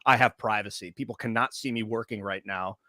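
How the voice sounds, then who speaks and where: A man hosting a podcast interview from a closed private room, asking questions in a halting way, searching for words. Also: on the low side, pushed and bright, dry recording, studio quality. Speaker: podcast host.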